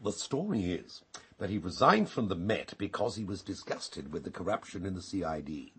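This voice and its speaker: An actor playing a wry, cultured English gossip in a radio drama, with a raspy voice and an arch, drily amused manner. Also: male voice, deep voice, sing-song, normal speed, fairly bright tone, full character voice. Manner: arch, drily amused, literary turns of phrase.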